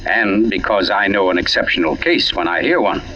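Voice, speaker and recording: A man's voice: sits low, lively intonation, brisk, bright, forceful tone, full character voice. A man playing a suave, sinister older scholar, mocking and condescending, in 1940s radio mystery style. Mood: amused, mocking. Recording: muffled old radio recording.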